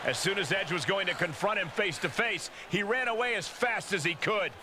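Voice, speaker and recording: Man as a high-voiced excitable wrestling announcer. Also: normal speed, background noise, close to an everyday voice. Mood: indignant. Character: excitable male wrestling announcer calling the action. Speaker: wrestling play-by-play commentator. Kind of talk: sports commentary in a video game.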